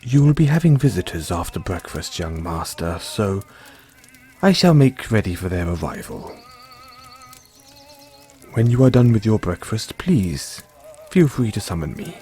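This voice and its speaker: Man acting as British butler, low voice, lively intonation, formal, measured, deferential, wry devotion, audio roleplay, faint music behind. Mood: approving, formal. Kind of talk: scripted roleplay, intimate second-person address.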